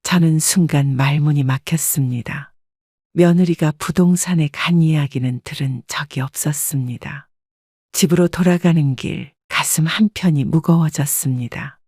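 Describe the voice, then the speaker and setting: A woman in her sixties narrating her own story in first person, calm and measured. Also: low voice, no room sound, clean studio sound.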